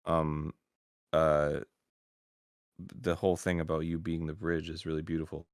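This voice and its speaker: A man's voice: very deep voice, level tone, moderate pace, clear, resonant tone, dry recording, studio quality. A man as an interview guest, speaking thoughtfully with many "um" and "uh" fillers. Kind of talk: casual interview chat.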